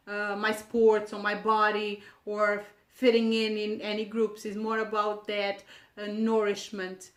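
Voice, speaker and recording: A woman with a Portuguese accent and a fairly high voice talks informally, as a wellness vlogger explaining things to viewers. She moves along haltingly and repeats words for emphasis. The room around her adds a clear echo. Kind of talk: informal explanatory talk to camera. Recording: echoey room.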